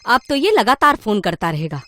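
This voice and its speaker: A woman playing a middle-aged, worried mother in a moral story, with an expressive, fretting manner. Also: on the high side, moderate pace. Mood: fretful. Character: fretful middle-aged mother, worried about her son.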